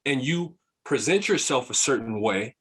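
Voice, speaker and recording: A man with a congested voice from a cough, explaining his view in a measured, casual chat as a podcast guest. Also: mid-range voice, lively intonation, fairly bright tone, husky.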